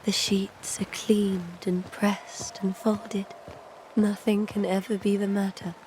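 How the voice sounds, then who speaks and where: A grieving widow in a video game drama, played by a woman, devout and tender. She speaks slowly and wistfully at an ordinary pitch, with faint ambient noise behind her. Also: little pitch movement, lightly acted. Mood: wistful grief. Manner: pleading, wistful.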